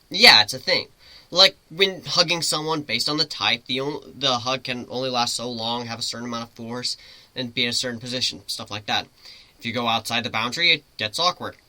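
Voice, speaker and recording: A man's voice: mid-range voice, pushed and bright, no echo, low-quality recording. A man voicing a cocky, joking male griffin who teases and rambles informally in a dramatic story reading. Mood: wry, explaining. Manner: informal, teasing, rambling explanations.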